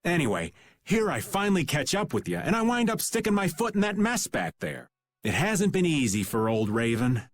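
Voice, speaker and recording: A man voicing a laid-back, wry middle-aged rogue in an animated role-playing video game. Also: a little high, normal speed, dry recording. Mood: wry, casual. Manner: casual, wry, refers to himself by name. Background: faint background music.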